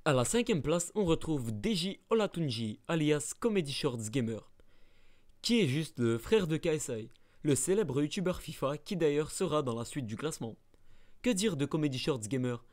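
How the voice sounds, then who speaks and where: Male narrator, slightly high, playful scripted voice-over. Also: animated, moderate pace, a little mellow. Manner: playful, mock-dramatic asides. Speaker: narrator of a ranking video.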